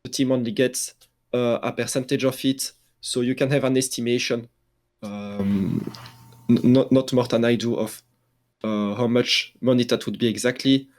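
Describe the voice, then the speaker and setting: A man with a French accent, talking through esports news in a casual podcast discussion, halting often with fillers and restarts. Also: mid-range voice, steady tone, darkish tone. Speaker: esports journalist.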